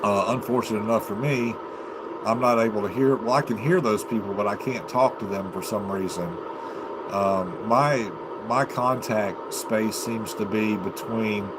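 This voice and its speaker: An American man with a lowish voice rambles casually to his livestream viewers. He takes his time, pausing and restarting as he thinks aloud. Loud, steady noise runs behind his voice. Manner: halting, unhurried, thinking aloud. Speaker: amateur livestreamer and CB radio hobbyist. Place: home basement.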